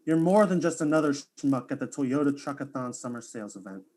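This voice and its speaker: A man's voice: medium pitch, lively intonation, brisk, a little mellow, natural voice. A man playing a vain, theatrical streamer in a comic audio drama, with a flowery, melodramatic delivery. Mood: self-important.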